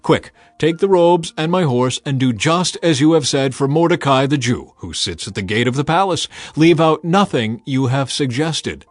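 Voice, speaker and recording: Man acting an imperious king, raised animated voice. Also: on the high side, moderate pace, hardly any pauses, no echo, pitched above the performer's own voice. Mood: grand, generous. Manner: forceful, regal, emphatic. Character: commanding, imperious ancient male king. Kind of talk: dramatized audiobook character acting.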